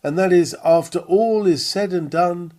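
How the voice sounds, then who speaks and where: A man reading a sermon aloud in an earnest, measured, emphatic preaching manner. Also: high-pitched, warm tone. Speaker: reader of a printed sermon. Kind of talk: read-aloud sermon, devotional.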